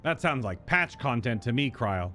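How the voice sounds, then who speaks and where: A man's voice: mid-range voice, very animated, moderate pace, sharp tone. A man streaming a game playthrough, muttering to himself and reacting live to what happens. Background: game music.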